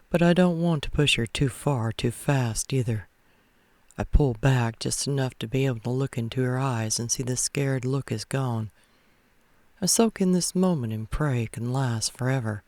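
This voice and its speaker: A man's voice: mid-range voice, a little mellow, dry recording. A man narrating a first-person romance story as a read-aloud. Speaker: audiobook-style narrator.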